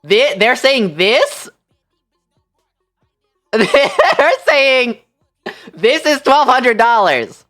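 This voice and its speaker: A woman livestream host telling a story casually, in an animated, incredulous, slangy way. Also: on the high side, drawn-out words, long pauses, faint music behind.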